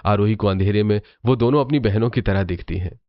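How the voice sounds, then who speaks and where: A man's voice: fairly low pitch, fairly quick. A man narrating a scripted cartoon family story as the storyteller, on a slightly dull recording.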